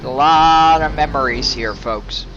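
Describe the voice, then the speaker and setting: A man's voice: on the high side, a little slow, a bit bright, muffled recording, faint music behind. A man giving casual commentary as he plays through a video game.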